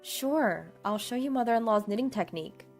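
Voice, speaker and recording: A woman's voice: on the high side, animated, moderate pace, darkish tone, soft background music, voice pushed up. A woman voicing a brave, indignant daughter-in-law standing up for herself in a story drama. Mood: gentle. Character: brave, indignant woman defending mother-in-law's inheritance.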